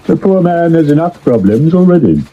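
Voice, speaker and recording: A man playing an urbane, self-assured Victorian gentleman sleuth in a period radio drama, dry and witty. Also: on the high side, sing-song, moderate pace, slight background noise. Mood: wry, assured. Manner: dry, witty, commanding, lofty. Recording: muffled 1970s medium wave radio recording.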